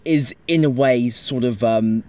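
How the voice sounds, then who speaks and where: A man's voice: medium pitch, a little slow, darkish tone. A man as a podcast panelist, giving casual analysis in a tentative, hedging way. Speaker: podcast panelist discussing audio drama.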